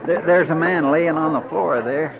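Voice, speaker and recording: An actor playing a simple, loyal, anxious deputy sidekick in a 1950s radio western, folksy and deferential. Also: male voice, on the high side, normal speed, gravelly. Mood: earnest. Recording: muffled noisy old radio recording.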